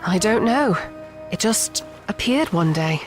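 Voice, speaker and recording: An actress playing a superstitious seafaring local in an audio drama, earnest and cautious. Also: female voice, medium pitch, animated, slow, over music. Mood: uneasy. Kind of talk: full-cast audio drama acting.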